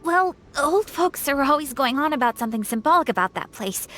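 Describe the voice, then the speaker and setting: Casting: chatty, opinionated young local in a fantasy game, high lilting voice. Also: female voice, lively intonation, brisk, fairly bright tone, soft background music. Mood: breezy, dreamy. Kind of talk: video game voice acting.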